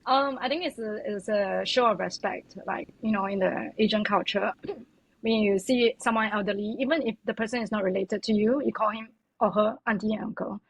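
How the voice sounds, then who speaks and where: A woman's voice: medium pitch, a little fast, creaky, breathy edge, no echo, low-quality recording. A woman guest on a podcast, chatting casually and filling her talk with "like" and "you know". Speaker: podcast guest, communications consultant. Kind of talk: casual interview chat.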